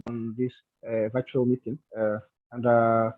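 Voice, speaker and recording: Halting Somali-accented man on thin phone-like line. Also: mid-range voice, level tone. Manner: halting, formal. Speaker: government official and youth climate activist. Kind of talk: earnest speech-like remarks. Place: online virtual meeting. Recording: thin phone-like call audio.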